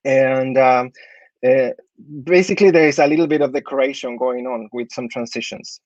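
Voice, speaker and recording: A man's voice: medium pitch, normal speed, a little mellow, highs cut off, slight background noise. A man in his thirties from Chile, an architect giving portfolio tips in an online talk, hesitant, with many fillers and restarts. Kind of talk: online talk with slide review.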